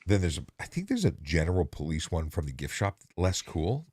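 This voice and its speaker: A middle-aged man hosting a casual traffic-policing livestream, talking in halting bursts with frequent restarts. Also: low voice, big pitch swings, darkish tone. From Ontario.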